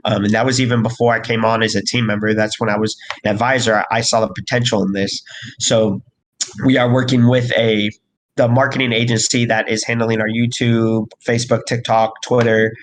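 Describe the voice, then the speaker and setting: A man from a crypto project team giving a casual update in a live voice chat, hoarse from recent illness and rambling with lots of fillers and restarts. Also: lowish voice, flat delivery, a little fast, a little hiss or hum, poor audio. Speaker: crypto project team member hosting an AMA.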